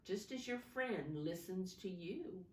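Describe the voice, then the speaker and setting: A woman reading a storybook expressively to young children, deliberately, pausing to explain and ask simple questions. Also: on the low side, expressive, darkish tone. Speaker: preschool teacher reading aloud. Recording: roomy echo, distant microphone.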